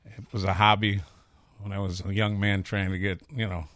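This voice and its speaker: A man's voice: fairly low pitch, level tone, moderate pace, a bit bright, a little hiss or hum. A man in his fifties with a Chicago accent, a chef talking candidly and rambling through stories in a casual interview. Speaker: chef and restaurateur.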